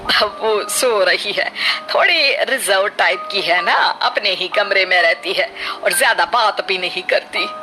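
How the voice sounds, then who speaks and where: A woman acts out an elderly mother-in-law in a cartoon drama, showy, proud and easily jealous. She speaks slowly and haughtily, with music clearly playing behind her. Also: mid-range voice, pushed and bright, low-quality recording. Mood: placating. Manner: haughty, showy, drawn-out speech. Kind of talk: cartoon family drama acting.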